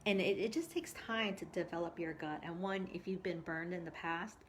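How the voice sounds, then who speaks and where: Low-voiced woman coach chatting casually. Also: moderate pace, a little hiss or hum. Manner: animated, conversational, acts out quoted thoughts and asks for agreement. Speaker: coach hosting a live stream. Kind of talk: casual livestream chat, personal anecdotes and advice.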